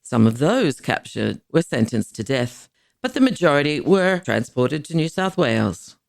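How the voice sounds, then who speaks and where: A woman reading a scripted history podcast as host, in a measured, explanatory way, posing questions to the listener. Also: deep voice, sing-song, vocal fry, no room sound, studio quality.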